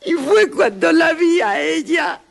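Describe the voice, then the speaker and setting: Scared little girl, really high voice, tearful and insistent, radio drama. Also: expressive, a little slow, creaky, exaggerated voice. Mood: frightened, tearful.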